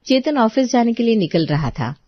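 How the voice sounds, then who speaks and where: A woman's voice: fairly high pitch, sing-song, moderate pace, dry recording, lo-fi sound. A woman storyteller reading scripted narration for an audio drama.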